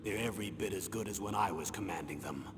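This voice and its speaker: An actor playing a stern middle-aged military commander in a video game, speaking in a measured, grave way and leaning on names. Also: male voice, low voice, natural voice. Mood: grave, portentous. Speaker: former special forces commander. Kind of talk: dramatic video game voice acting. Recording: echoing reverberant hall, noisy game audio.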